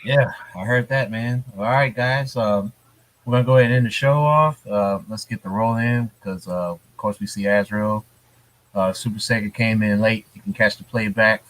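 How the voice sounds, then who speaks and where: A man with a fairly low voice co-hosts a casual gaming podcast, chatting in a loose, rambling way full of fillers. He talks into a home streaming mic. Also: fairly even intonation, normal speed, a bit bright, low-quality recording. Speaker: podcast co-host. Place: hurricane-prone US city.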